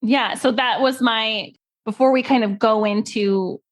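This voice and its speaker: A woman's voice: a little high, steady tone, measured pace. A woman with an American accent hosting a podcast interview in a casual, chatty way. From California, United States. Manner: casual, chatty, frequent filler "like". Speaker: podcast host. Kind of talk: upbeat interview and casual chat.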